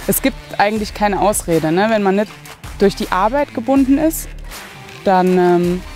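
A woman's voice: medium pitch, moderate pace, over music. A woman in her thirties who plays amateur football, answering interview questions in a firm, plain-spoken way on an outdoor field at night. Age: 30s.

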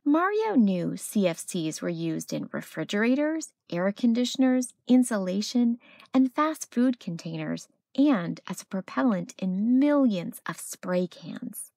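A woman narrating a children's picture book as a read-aloud storyteller, with expressive delivery. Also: mid-range voice, measured pace, gravelly, no room sound, studio quality.

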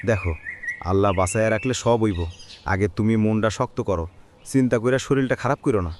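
A man's voice: fairly low pitch, quick pace, close to an everyday voice. A man with a rural Bangladeshi accent playing a kind, devout village friend in a cartoon, earnest and reassuring. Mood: consoling. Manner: earnest, consoling, gently exhorting. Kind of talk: cartoon voice acting. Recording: faint background noise with birdsong.

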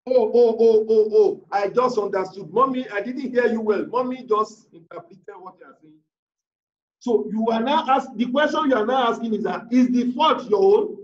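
A man's voice: very high voice, moderate pace. A man preaching as a pastor, giving emphatic, repetitive prayer declarations over a video call. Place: indoors, online video call. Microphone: video call device mic. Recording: roomy, distant, muffled video call.

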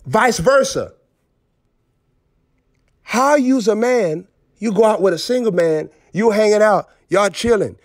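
A man's voice: high voice, lively intonation, slow, long pauses, no room sound, studio quality. A male preacher giving a streamed sermon in an emphatic, repetitive, scolding manner. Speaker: prophet preacher.

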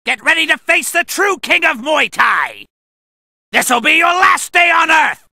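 Cocky fighting game kickboxer, high gravelly shouty voice, wild swings, boasting like crazy. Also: male voice, big pitch swings, no echo, clean studio sound, full character voice. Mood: boastful. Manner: boastful, theatrical, drawn-out shouting. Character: arrogant, bloodthirsty male Muay Thai fighter. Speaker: video game voice actor. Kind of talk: fighting game battle taunts and attack shouts.

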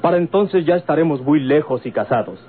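An actor playing a stern, calculating gentleman with a Mexican accent, deliberate and insistent, in an old radio drama. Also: a little high, expressive, husky. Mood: stern, pressing. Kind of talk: golden-age Mexican radio drama acting. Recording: tinny, noisy old radio recording.

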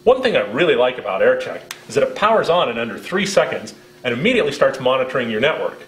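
A man's voice: medium pitch, very animated, fast, reverberant, a little hiss or hum, rough recording. A man in his fifties with an American accent gives a scripted product demonstration in a friendly, instructional way. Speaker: product presenter.

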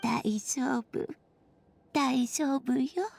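A woman voicing a gentle, wistful mother creator in a storybook video game, soft and soothing in manner. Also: high-pitched, fairly even intonation, creaky, exaggerated voice. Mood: tender, wistful. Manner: soft, soothing, slow, breathing into near-whispers. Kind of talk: scripted game voice acting, storybook-like.

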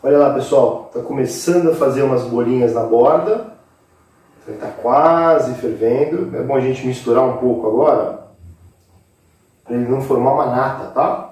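A man's voice: medium pitch, normal speed, darkish tone. A man in his forties with a Brazilian accent, casually explaining a recipe step by step to viewers in an informal, instructive way. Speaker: cooking show host. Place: indoor kitchen set with brick wall. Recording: very echoey room, distant microphone.

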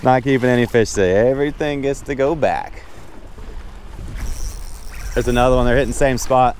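A man's voice: mid-range voice, normal speed, darkish tone. An American man giving chatty, folksy first-person fishing commentary to his viewers, recorded outdoors on a boat. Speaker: fishing vlogger. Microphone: action camera worn on body. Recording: outdoor noise on open boat.

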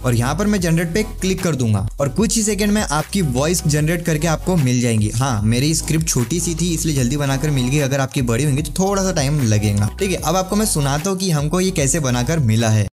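A man's voice: on the high side, expressive, a little fast, hardly any pauses, a little mellow, soft background music. A man, chatting informally to viewers as he explains things in a tutorial. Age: 20s. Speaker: tech tutorial YouTuber. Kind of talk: casual explainer to camera and screen-recording voice-over. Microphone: clip-on lavalier with windscreen.

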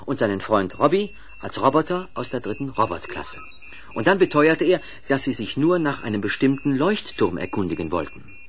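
Lively male storybook narrator. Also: mid-range voice, breathy edge. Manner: expressive storytelling. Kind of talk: scripted children's story narration.

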